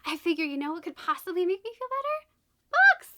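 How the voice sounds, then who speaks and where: A woman chats through a book unboxing for her channel. Her voice is high and bright but slightly raspy from being sick. She gushes, then drops into hushed, whispered asides. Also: very animated, fairly quick, a little hiss or hum. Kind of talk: casual unboxing vlog.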